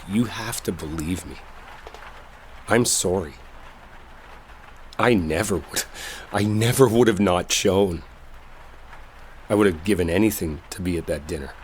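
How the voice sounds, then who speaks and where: Actor as earnest deep-voiced lovestruck man. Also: a little hiss or hum. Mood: frustrated, apologetic. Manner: halting, colloquial, confessional. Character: earnest lovestruck male coworker clearing up a misunderstanding. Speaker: roleplay audio voice actor. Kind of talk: intimate dramatic roleplay audio, one-sided conversation. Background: rainstorm ambience.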